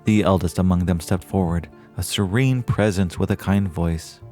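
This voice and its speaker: A man playing an excitable, earnest small-town radio reporter with a florid, theatrical way of narrating. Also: low voice, moderate pace, soft background music, close to an everyday voice. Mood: earnest wonder. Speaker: host and narrator of an audio drama. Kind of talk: old-time radio style dramatic narration.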